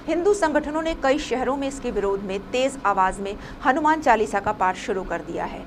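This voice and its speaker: A woman reading a scripted news report as a presenter, with some noise behind her. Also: fairly high pitch.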